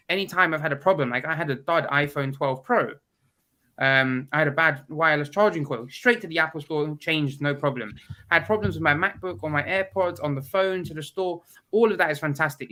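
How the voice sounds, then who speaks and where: A man's voice: a little high, pushed and bright. A man, a guest in a casual video call chat, talking bluntly and with strong opinions while stuffed up from a cold.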